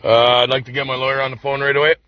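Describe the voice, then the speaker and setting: A man's voice: mid-range voice, level tone, rapid-fire, highs cut off. Guy in a car getting arrested, cool and kinda sarcastic, mid voice. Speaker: driver being arrested. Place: inside a car.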